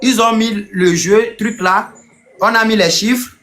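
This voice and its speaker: A man chatting casually as a guest, talking loudly and forcefully. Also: high voice, steady tone, a little slow. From Canada-born, with a home in Africa. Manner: loud, forceful talker. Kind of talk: casual chat.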